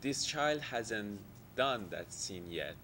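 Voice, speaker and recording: Audience man, very low voice, hesitant with fillers, faint room rumble. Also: expressive, slow, pushed and bright. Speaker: audience member asking a question. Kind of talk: asking a question.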